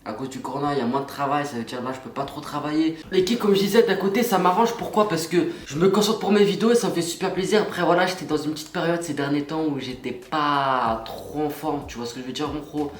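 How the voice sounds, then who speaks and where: A man's voice: a little high, fast, hardly any pauses. A young man, stuffy from a cold, chatting casually and slangily to his viewers in a vlog. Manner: slangy, pumped-up, talks straight to viewers. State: stuffy nose, has a cold. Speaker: YouTuber.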